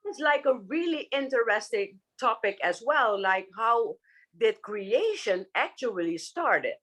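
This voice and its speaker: Fairly high-voiced woman, searching for words. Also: animated, a little slow, fairly bright tone. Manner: hesitant, searching for words, many restarts. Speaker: guest psychotherapist. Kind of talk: casual spiritual conversation.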